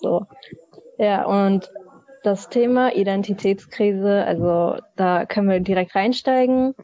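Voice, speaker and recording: A woman in her twenties from Hamburg, calmly moderating a podcast and putting questions to the group. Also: mid-range voice, a little slow, dry recording. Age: 20s. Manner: calm, asks questions, even delivery. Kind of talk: casual podcast chat. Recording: dull, dry lo-fi sound.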